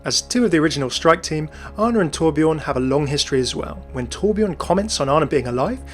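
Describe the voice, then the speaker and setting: A man's voice: fairly high pitch, expressive, fast talker. A man hosting and narrating a scripted game lore commentary. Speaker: video host and narrator. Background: music.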